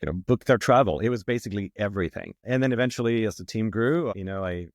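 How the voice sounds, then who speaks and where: A middle-aged man from Sweden with a Swedish accent, a marketing executive talking about his career in a relaxed, reflective way with many fillers and restarts. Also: lowish voice, fast talker, dry recording, studio quality. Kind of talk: casual interview talk.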